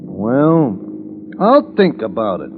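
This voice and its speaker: Slow-witted American gangster on tinny old radio. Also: male voice, medium pitch, huge pitch swings, background noise. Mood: uneasy, apologetic. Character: simple-minded, chatty, apologetic male kidnapper gangster. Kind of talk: 1940s radio acting. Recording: muffled vintage radio broadcast, loud music behind.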